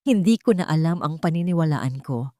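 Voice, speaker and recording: A woman's voice: low-pitched, lively intonation, fairly quick, mellow, no room sound, studio quality. A woman narrator voicing an anguished, betrayed older woman in a romance story, emotional and demanding. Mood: distraught.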